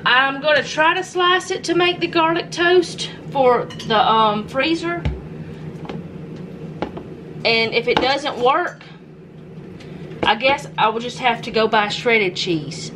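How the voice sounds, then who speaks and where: Home vlogger, woman, slightly high voice, relaxed think-aloud delivery, noisy kitchen recording. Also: slow, long pauses. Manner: chatty, thinking aloud while cooking. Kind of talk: casual cook-with-me narration.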